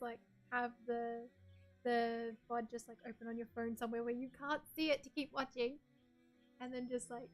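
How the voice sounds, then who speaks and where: Soft-voiced Australian woman streamer. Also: a little high. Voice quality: soft, breathy voice. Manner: chatty, reads chat messages aloud and answers them. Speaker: game streamer. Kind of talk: casual chat with stream viewers.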